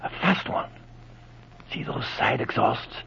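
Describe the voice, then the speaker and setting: An actor playing a wary, loyal marshal, hushed and plain-spoken, in a 1940s radio adventure serial. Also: male voice, slow pace. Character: wary, loyal marshal, the inspector's male sidekick. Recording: muffled, noisy old radio recording.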